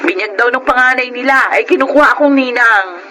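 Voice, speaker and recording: A woman playing a grateful, good-humoured grown daughter in a Filipino radio drama, respectful and gently teasing. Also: sits high, brisk, a little hiss or hum. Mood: teasing, affectionate. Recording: radio drama with music underneath.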